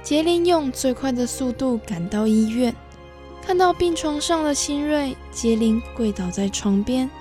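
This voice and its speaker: High-voiced woman narrating over music. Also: lively intonation, measured pace, warm tone. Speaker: story narrator. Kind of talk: scripted radio drama narration. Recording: prominent music behind the voice.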